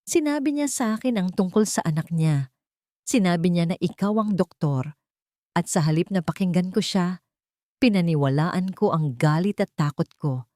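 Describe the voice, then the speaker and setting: A woman's voice: lowish voice, lively intonation, moderate pace, warm tone, dry recording, clean studio sound, close to an everyday voice. A woman voicing a remorseful widowed father, earnest and regretful.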